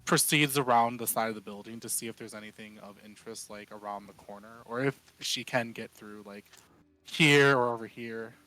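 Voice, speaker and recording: A man's voice: lowish voice, moderate pace, sharp tone, rough recording. A man playing a tabletop role-playing game, casually describing a character's actions and thinking aloud.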